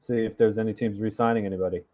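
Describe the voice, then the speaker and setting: A man with a lowish voice chats casually as a co-host, thinking aloud and wandering between points. His voice comes through a thin, phone-like call line. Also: steady tone, racing, dark tone. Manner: chatty, thinks aloud with fillers. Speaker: regular co-host.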